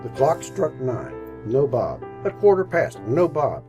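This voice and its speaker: A man's voice: medium pitch, wildly swooping pitch, unhurried, music in the background. A man narrating and announcing a scripted old-time radio drama with theatrical storytelling and dramatic emphasis.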